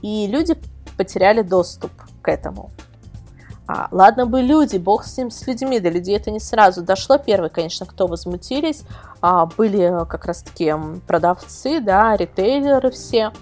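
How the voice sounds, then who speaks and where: A woman's voice: mid-range voice, expressive, moderate pace, darkish tone. Chatty woman explaining, music behind. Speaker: guest expert, portal editor. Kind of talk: casual podcast interview, explaining. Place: remote video call.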